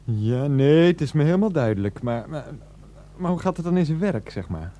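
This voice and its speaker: A man's voice: on the high side, big pitch swings, moderate pace, noisy background. A man acting a put-upon, sardonic man in a satirical radio play, talking in a hesitant, dry, placating way. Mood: puzzled, placating.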